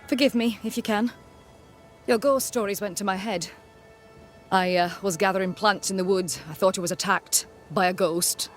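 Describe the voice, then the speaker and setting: A woman's voice: medium pitch, normal speed, faint music behind, lightly acted. An actress playing a flustered, prim, pious colonial townswoman in a video game, fussy and apologetic. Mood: embarrassed, prim. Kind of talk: scripted video game cutscene acting.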